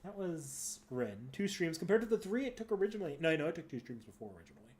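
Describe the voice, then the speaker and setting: A man's voice: a little high, very animated, fast, a little mellow. A man streaming games, chatting casually and unscripted, hesitant and often correcting himself as he thinks aloud. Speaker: live game streamer.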